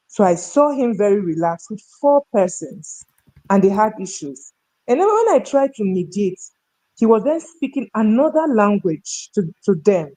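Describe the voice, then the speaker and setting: A woman's voice: medium pitch, dark tone, poor audio. Nigerian woman telling stories emphatically. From North Central Nigeria. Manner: emphatic, rhetorical questions. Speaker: human resources professional, talk show guest. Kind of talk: conversational storytelling and opinion in a discussion.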